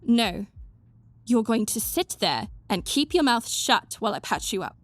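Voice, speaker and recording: A woman's voice: a little high, big pitch swings, unhurried, no room sound. A woman voicing a stern, bossy but caring young woman who scolds someone she cares about. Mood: scolding. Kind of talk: fan audio roleplay.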